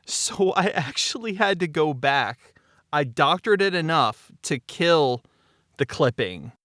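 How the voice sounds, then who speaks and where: A man talking casually as a show's creator and audio editor, halting and often repeating phrases. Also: a little high, big pitch swings, a bit bright, no room sound, clean studio sound. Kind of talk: casual behind-the-scenes talk.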